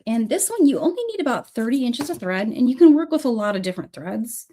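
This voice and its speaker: A woman's voice: fairly high pitch, sing-song, fast, dark tone. Chatty woman teaching beading with a cold. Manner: chatty, friendly, thanks viewers by name. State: has a cold, coughing. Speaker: beading instructor hosting a livestream class. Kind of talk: casual livestream tutorial.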